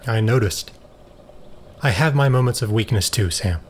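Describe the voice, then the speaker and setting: A man's voice: lowish voice, animated, normal speed, close to an everyday voice. A man acting in an audio drama as a stern, self-controlled scientist, blunt and clinical in manner. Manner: matter-of-fact, firm, clinical. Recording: faint background noise.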